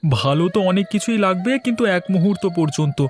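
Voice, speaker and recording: A man's voice: sits high, expressive, brisk, soft background music. A man voicing a frugal, worried young shopkeeper husband in a cartoon, earnest and lecturing in manner. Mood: glum. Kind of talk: Bengali cartoon voice acting.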